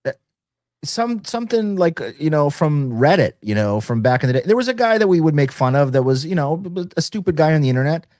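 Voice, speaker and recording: A man talking casually as a guest on a talk show, somewhat halting as he restarts his phrases. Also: on the high side, big pitch swings, darkish tone. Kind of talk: casual chat.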